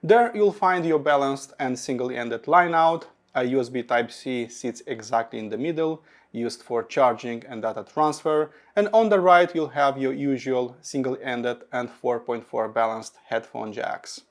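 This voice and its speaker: Man with a gravelly voice reviewing gadgets. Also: medium pitch, unhurried. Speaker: audio gear reviewer. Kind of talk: semi-scripted product review narration.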